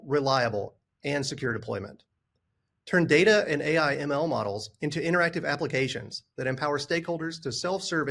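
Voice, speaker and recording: A man reading scripted product narration in a measured, instructional way. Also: on the high side, creaky, fairly bright tone.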